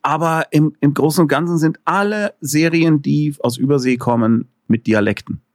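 A man's voice: fairly high pitch, normal speed, no echo. Joking middle-aged man in dry sound. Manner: lively, joking, rambling with many fillers. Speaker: author and filmmaker, interview guest. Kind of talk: casual interview conversation.

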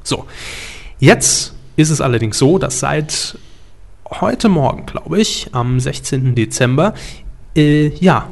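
A man's voice: on the high side, lively intonation, takes it slowly, gravelly. Man presenting a media talk, methodical. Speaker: host of a media news talk show. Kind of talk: explanatory conversational presenting.

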